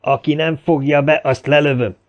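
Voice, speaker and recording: A man's voice: medium pitch, gravelly, darkish tone, highs cut off, voice pushed up, lightly acted. Man playing a stern, commanding gang leader. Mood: commanding, angry. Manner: barking orders, reasoning firmly.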